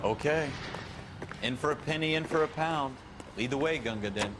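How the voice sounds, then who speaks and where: A man's voice: fairly high pitch, moderate pace, close to an everyday voice. A man playing a wry, joking police partner in a detective video game, speaking offhand. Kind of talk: video game dialogue, period detective drama. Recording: clear background noise.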